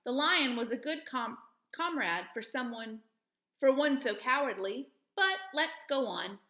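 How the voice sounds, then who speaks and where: A woman's voice: high-pitched, expressive, a little slow, sharp tone, roomy sound, exaggerated voice. A woman voicing a kindly, decisive male scarecrow in a storybook, practical and earnest as he speaks. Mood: regretful.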